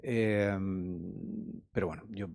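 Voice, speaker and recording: A man, an actor, giving measured, reflective answers in a festival press interview. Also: deep voice, steady tone, vocal fry, darkish tone. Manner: measured, reflective, explains at length.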